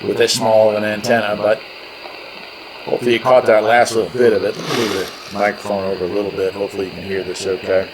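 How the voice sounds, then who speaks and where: A middle-aged man giving a casual, plain-spoken product demonstration as host, in an unhurried manner. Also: on the low side, little pitch movement, heavy background noise. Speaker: host reviewing radio gear. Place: small room at a desk, indoors.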